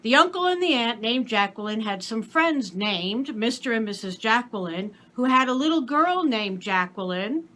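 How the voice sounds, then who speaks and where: Woman playing indulgent papa, patient storyteller, bright, lightly high voice, storybook character. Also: lively intonation, moderate pace, few pauses. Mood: patient storytelling. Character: sleepy, indulgent papa telling his child a story.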